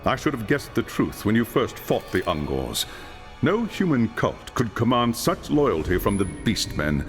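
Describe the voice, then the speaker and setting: An actor playing a commanding High Lore Master who speaks with measured authority. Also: male voice, big pitch swings, faint music behind. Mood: grave. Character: commanding older male wizard mentor.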